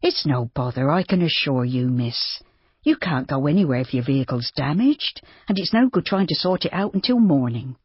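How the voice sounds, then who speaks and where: A reader voicing a bustling, kindly farmer's wife who is motherly and brisk. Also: medium pitch, expressive, husky, no echo, lo-fi sound.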